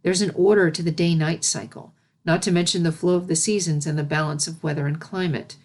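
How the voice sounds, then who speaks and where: A woman as a regal, gentle pony princess. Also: deep voice, fairly even intonation. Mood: patient, explanatory. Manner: measured, formal.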